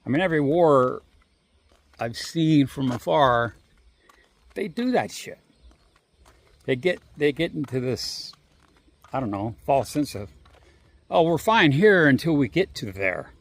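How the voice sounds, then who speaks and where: Man in a rambling walk-and-talk vlog: unhurried and profane, with a rough, crackly voice, recorded on a phone. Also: fairly high pitch, expressive. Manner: rambling, profane, drawn-out pauses. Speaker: vlogger. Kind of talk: casual walking monologue. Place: outdoors on a walk. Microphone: handheld phone.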